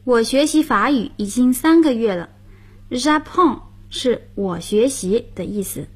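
A woman with a high, slightly husky voice hosts a radio lesson. She explains grammar step by step in a patient teaching tone. She prompts her partner for each practice sentence. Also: animated, slow. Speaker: radio language lesson host. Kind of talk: instructional radio hosting.

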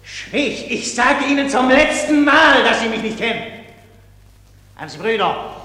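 Irritable lieutenant, high sharp voice, threatening, insistent, self-important, radio play. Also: male voice, very animated, measured pace, full character voice. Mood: menacing, exasperated. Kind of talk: German radio drama acting. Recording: echoey hall with long reverb.

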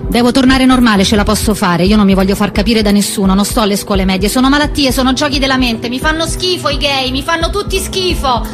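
High voiced woman, played by an actress, lonely and ashamed, clipped intense theatre monologue, music under it. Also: fast, hardly any pauses, lightly acted. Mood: feverish, confessional. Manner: clipped fragmentary phrases, raw and intense. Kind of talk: theatrical monologue, stream of consciousness. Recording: music behind the voice.

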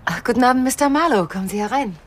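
A woman's voice: a little high, animated, very fast, a little hiss or hum, lightly acted. A woman playing a gracious, poised hostess, a writer's wife, in a radio drama, speaking politely.

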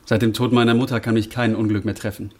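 A man playing a polite, naive young gentleman in a radio drama, with a slightly husky voice. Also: on the low side, flat delivery, fast talker, natural voice. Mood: bewildered, earnest.

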